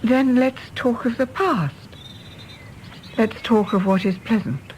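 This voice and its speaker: A woman's voice: on the high side, slow pace, a little mellow, a little hiss or hum, poor audio. An actress playing a polite, guarded middle-aged woman in a radio play, composed and deflecting. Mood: evasive, regretful.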